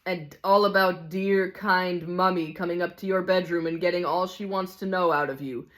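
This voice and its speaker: A man's voice: high voice, steady tone, normal speed, a bit bright. A woman reading the part of a cynical, hard-bitten male ghost in a storybook, grumbling scornfully and insistently. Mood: bitter, scornful. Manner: scornful, insistent complaining with rhetorical questions.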